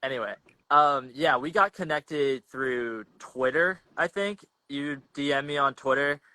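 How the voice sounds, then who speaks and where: A man's voice: mid-range voice, a little slow, sharp tone, dry recording, rough recording. A young man hosting a podcast in a casual, slangy, rambling chat over a video call, often restarting his sentences. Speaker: podcast host.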